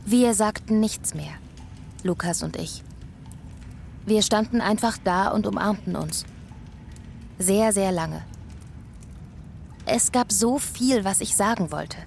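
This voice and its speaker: A woman's voice: medium pitch, slow pace, creaky, pauses often, a little mellow, slight background noise. A woman playing a young heroine who narrates her space adventure in a reflective, wistful, scripted first person. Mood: tender.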